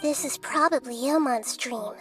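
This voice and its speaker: A woman playing a gentle, wise child deity in a video game, speaking softly in a measured, thoughtful way. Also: high voice, natural voice. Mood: calm. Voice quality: childlike, soft. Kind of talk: video game character acting. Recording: game music behind voice.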